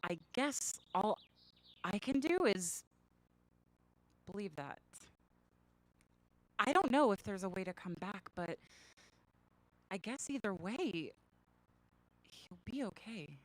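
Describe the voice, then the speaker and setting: An actress playing an anxious, guilt-ridden young woman in a science fiction radio drama, halting and emotional. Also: medium pitch, very animated, no echo, low-quality recording. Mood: stunned, resigned. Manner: halting, emotional, stammering delivery.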